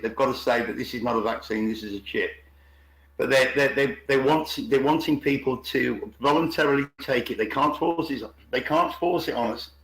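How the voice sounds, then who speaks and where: A man's voice: medium pitch, fairly bright tone. A man in an unscripted, opinionated discussion on an online call, repeating words and restarting often. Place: indoors on an online group call. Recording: roomy, echoing online call.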